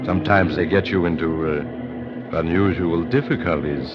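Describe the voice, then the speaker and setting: Guy doing a creepy sly train storyteller, really deep voice, old radio, music loud behind. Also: moderate pace, lo-fi sound, close to an everyday voice. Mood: sly, wry. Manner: wry, insinuating, mock-polite. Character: sly, sinister male storytelling train passenger. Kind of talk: 1940s radio horror narration.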